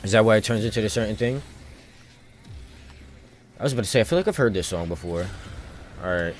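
A man streaming a video game with casual live commentary, rambling and thinking out loud in loose slang. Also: on the low side, expressive, brisk, faint music behind.

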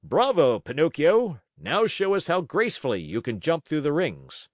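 A man's voice: on the high side, very animated, a little slow, a little mellow, no room sound, clean studio sound, voice pushed up. A man voicing a pompous, boastful male circus manager who addresses a crowd in grand showman's style. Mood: commanding.

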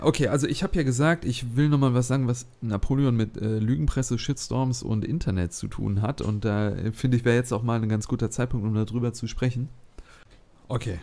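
A man's voice: mid-range voice, warm tone. A man hosting a let's play, chatting casually and thinking aloud with many fillers. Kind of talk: casual gameplay commentary.

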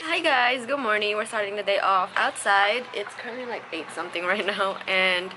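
A woman's voice: mid-range voice, normal speed, music in the background. A young woman chatting casually to camera in a home vlog, rambling with fillers. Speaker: family vlogger. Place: home kitchen and outdoor patio. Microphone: handheld camera at arm's length. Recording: noisy home video audio.

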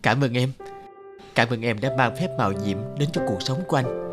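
Man playing earnest husband over loud music. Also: mid-range voice, fairly quick, close to an everyday voice. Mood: grateful. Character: busy, earnest husband and devoted son. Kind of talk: cartoon drama acting.

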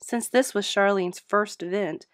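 A woman's voice: mid-range voice, measured pace, no room sound, studio quality. A woman narrator reading a cozy mystery novel aloud in a scripted storytelling style. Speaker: audiobook narrator.

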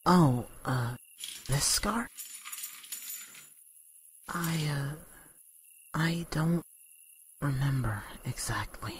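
Woman acting a timid, halting young soldier boy. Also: sits low, lively intonation, a little hiss or hum, exaggerated voice. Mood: nervous, dazed. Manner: hesitant, halting. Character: frightened, stammering young male soldier, timid. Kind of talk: amateur audio drama acting. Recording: dramatic music under the voice.

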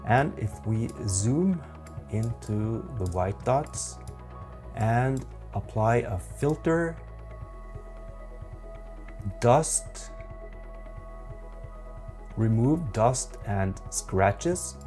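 A man in his forties with a Norwegian accent, giving a software tutorial in a methodical, halting way. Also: mid-range voice, lively intonation, soft background music. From Norway. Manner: slow, methodical, halting explanations. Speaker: photography instructor.

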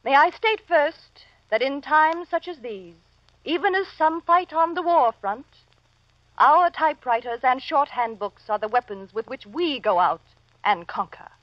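Muffled old radio recording, pompous woman supervisor, very high, swooping voice, formal, officious. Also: expressive, unhurried, dry recording. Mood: self-important. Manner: pompous, formal speech-making. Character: officious, self-important female office supervisor. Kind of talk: vintage radio comedy acting.

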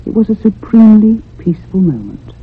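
An actress playing an anxious, curious woman in a radio drama, speaking in a measured, literary and expressive way. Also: medium pitch, breathy edge. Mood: reflective. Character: anxious, curious convalescent unmarried woman narrating a haunting. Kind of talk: radio drama acting and narration. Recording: muffled, phone-like old radio sound.

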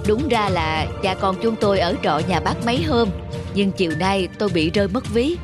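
A woman's voice: medium pitch, animated, normal speed, music in the background, natural voice. A woman voicing a humble cartoon father, speaking politely as he makes a request. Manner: polite, pleading. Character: humble stranded father politely asking for shelter. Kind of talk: cartoon voice acting.

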